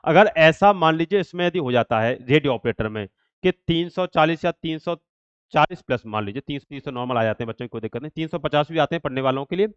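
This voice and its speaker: A man's voice: fairly high pitch, smooth, no room sound, lo-fi sound. A man giving students an informal explanatory exam update, repeating himself and leaning on verbal tics. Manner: repetitive, filler-heavy explaining with habitual verbal tics. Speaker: exam update channel host and coach.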